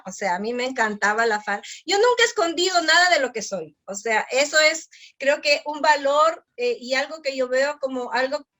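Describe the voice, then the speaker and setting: Bright high-voiced Ecuadorian woman guest. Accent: Ecuadorian Spanish. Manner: lively storytelling, frequent quick affirmations. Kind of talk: conversational interview.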